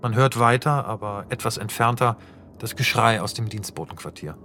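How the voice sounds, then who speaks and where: A man narrating stage directions for a radio play in a measured, even and descriptive way. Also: fairly low pitch, a little hiss or hum. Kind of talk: scripted narration.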